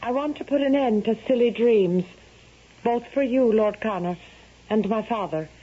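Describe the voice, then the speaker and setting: A woman's voice: fairly high pitch, normal speed, warm tone, slightly rough. An actress playing a resolute, bitter young noblewoman, a wronged daughter, formal and composed in a 1970s radio drama. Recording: dull vintage radio recording.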